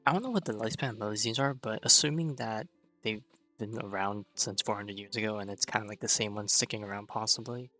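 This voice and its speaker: A man's voice: lowish voice, fast, bright, forceful tone, soft background music. A man giving casual, musing, tentative play-along commentary as a game streamer.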